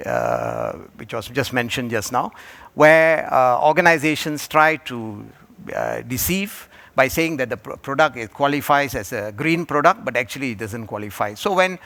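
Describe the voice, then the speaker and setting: A man from Malaysia speaks on a conference panel. His voice sits at a middle pitch. He stops and restarts often and fills gaps with "uh". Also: fairly bright tone. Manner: halting, with many fillers and restarts. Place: conference hall.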